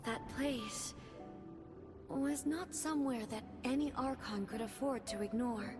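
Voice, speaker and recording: A woman voicing a gentle, warm-hearted former goddess queen in a game, speaking softly and tenderly. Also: on the high side, in a large hall, lightly acted. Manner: soft, tender, measured, solemn in ritual lines. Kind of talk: game cutscene voice acting. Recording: game audio with music.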